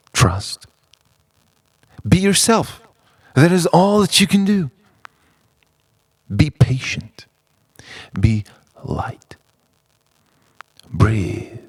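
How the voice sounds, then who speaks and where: A man performs introspective poetry in a close, dry recording. His delivery is dramatic and deliberate, with a widely moving pitch. He phrases his lines as a spoken-word monologue, leaving space between them. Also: darkish tone, breathy edge, no echo. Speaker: poet.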